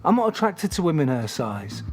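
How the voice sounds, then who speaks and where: A man's voice: fairly high pitch, big pitch swings, moderate pace, faint music behind. A man playing a hurt, earnest, loyal boyfriend in an audio drama, pleading and protesting. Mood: protesting, defensive.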